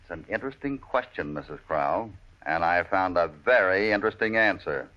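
A steady cowboy hero speaks in a low American voice, measured and sure of himself. It is Western radio drama on a muffled vintage recording. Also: male voice, soft background music. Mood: calm, assured. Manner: measured, assured, plain-spoken. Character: calm, steady, heroic cowboy lawman type. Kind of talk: old-time Western radio drama acting.

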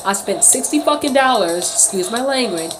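A woman's voice: on the high side, lively intonation, moderate pace, noisy background. Indignant woman venting to her phone. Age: 30s. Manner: indignant, emphatic, slangy venting with mimicked quotes. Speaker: vlogger. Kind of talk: casual storytelling rant to camera. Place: small bedroom, indoors. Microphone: phone at arm's length.

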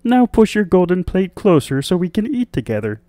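A man's voice: sits high, sing-song, normal speed, warm tone, no echo, pitched above the performer's own voice. A man voicing a small male frog in a fairy tale, courteous, pleading and insistent. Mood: demanding. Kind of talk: storybook character voice.

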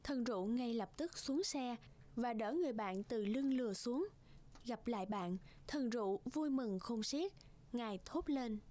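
High-voiced woman narrating a fairy tale. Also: lively intonation, normal speed, mellow, slight background noise.

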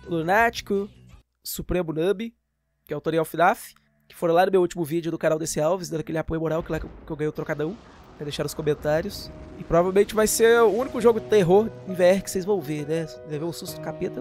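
Chatty Brazilian man over music. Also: high-pitched. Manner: chatty, jokey, informal. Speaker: gaming channel host. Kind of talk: casual, slangy game update voice-over.

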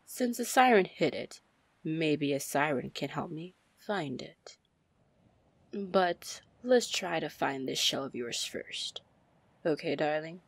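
A woman playing a confident, commanding, flirty female pirate captain in an ASMR fantasy roleplay, breathy and deliberate. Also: expressive, no echo, heavily acted. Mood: businesslike, resolute. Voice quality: breathy, low, husky. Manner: slow, deliberate, smooth-talking. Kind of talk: ASMR roleplay acting, fantasy audio drama.

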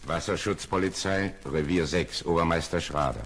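Commanding deep-voiced police sergeant. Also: male voice, moderate pace. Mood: businesslike. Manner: clipped, authoritative. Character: brisk, commanding male harbour police sergeant. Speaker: actor. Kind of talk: German radio play acting. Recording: old radio play, loud background noise.

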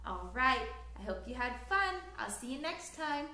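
A woman in her thirties reading a picture book aloud to young children in an expressive, sing-song way, recorded in a living room. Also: high voice, normal speed, roomy sound. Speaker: children's story time host. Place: small living room, indoors on a couch.

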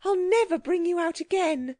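Woman as an anxious, high-voiced storybook girl. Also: expressive, moderate pace, no room sound, clean studio sound. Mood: pleading, distressed. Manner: pleading, anxious, gentle. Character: earnest, pleading, caring young storybook girl.

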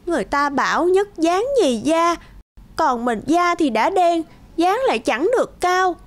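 A woman voicing a self-loathing teenage schoolgirl in an animated tale, whiny and complaining. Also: very high voice, measured pace. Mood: self-pitying. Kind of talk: animated moral tale monologue. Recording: music behind the voice.

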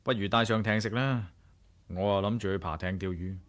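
An actor with a Hong Kong Cantonese accent playing a level-headed, inquisitive adventurer in a 1980s radio drama. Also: male voice, on the low side, brisk, fairly bright tone, natural voice. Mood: firm.

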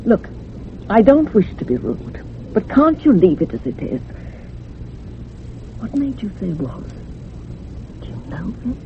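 An actress playing a troubled, gossipy older housekeeper in a radio thriller, rambling dramatically and sometimes dropping to a hush. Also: female voice, medium pitch, sing-song, unhurried, pauses often. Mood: uneasy, guarded. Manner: rambling, dramatic, hushed at moments. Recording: muffled phone-like radio recording with hiss.

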